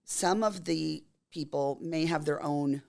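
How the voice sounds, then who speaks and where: A woman in her fifties from Texas, a makeup artist telling stories in a casual interview, her voice scratchy and hoarse from a cold. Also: low voice, takes it slowly, a little mellow. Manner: chatty storyteller, laughs often.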